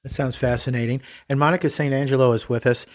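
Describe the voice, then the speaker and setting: A man's voice: mid-range voice, fairly even intonation, fast talker, no echo. Man, American accent, podcast host, folksy, chatty, dry sound. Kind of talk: sponsor reads and conversational interviewing.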